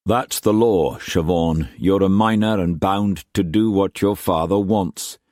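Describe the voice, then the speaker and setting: A man voicing a grave, weary merchant with a Scottish accent, speaking low and measured. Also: a little mellow, no room sound, studio quality.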